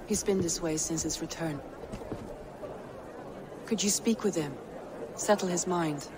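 An actress playing the concerned, composed wife of a Viking lord in a dramatic video game. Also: female voice, lowish voice, a little slow, noisy background, close to an everyday voice. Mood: worried.

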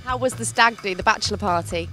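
A young woman interviewing riders in an outdoor race paddock on a handheld mic, bubbly and quick with her questions. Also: medium pitch, sharp tone, faint music behind. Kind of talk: upbeat sports interview.

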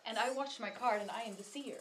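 A woman's voice: fairly high pitch, quick pace. A young woman chatting casually as a player during a board game. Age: young adult. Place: small tiled room, indoors. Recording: noisy, echoey room, distant mic.

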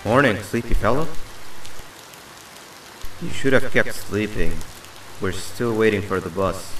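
A man voicing a calm, menacing monster that feigns friendliness in a video game. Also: on the low side, a little slow. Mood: quietly menacing. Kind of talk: video game character acting. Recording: echoey, distant, music behind.

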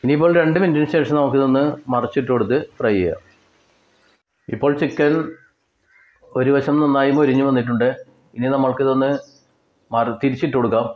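A man with a Kerala Malayali accent giving step-by-step cooking instructions in a plain way, with pauses and repetitions. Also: mid-range voice, muffled recording. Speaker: home cook presenter. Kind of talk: step-by-step recipe narration.

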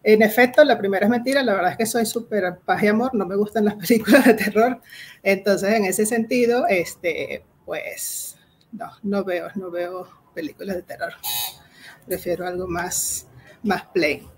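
A woman's voice: medium pitch, moderate pace, slight background noise. Venezuelan woman giving an online workshop. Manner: explanatory, addresses the audience directly, frequent fillers "este" and "eh". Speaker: agile coach presenting a workshop. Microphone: video call.

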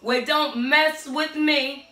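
A middle-aged woman with a hoarse voice giving a tarot reading to camera in a small room at home, sassy and sing-song, calling out to the spirits. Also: high voice, fairly even intonation, slow pace, sharp tone. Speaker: tarot reader. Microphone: camera standing a few steps away. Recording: echoey room, far from the mic, faint music.

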